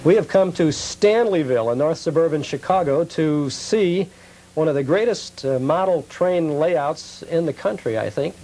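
Higher-pitched male TV host. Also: moderate pace, dark tone, poor audio. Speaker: TV show host. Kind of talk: hosting interviews and reading shop promos.

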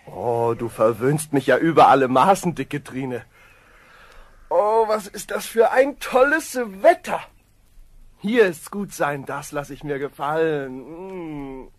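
An actor playing a lazy, simple-minded, cheerful young farm lad in a children's fairy-tale radio play, with a naive drawl. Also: male voice, on the high side, slow pace. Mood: contented.

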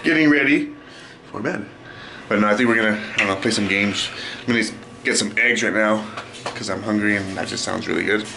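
A man's voice: fairly low pitch, brisk, gravelly, background noise. A young man in his twenties chatting playfully to his handheld camera in a small apartment. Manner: playful, teasing, loose and jokey. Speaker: vlogger. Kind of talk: casual home vlog chat. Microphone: handheld camera at arm's length.

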